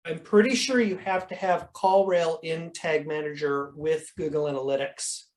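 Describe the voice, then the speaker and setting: Instructor, mid-pitched, informal coaching Q&A on a video call, explanatory and conversational. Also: fairly even intonation, moderate pace, a little mellow. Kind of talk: informal question-and-answer coaching.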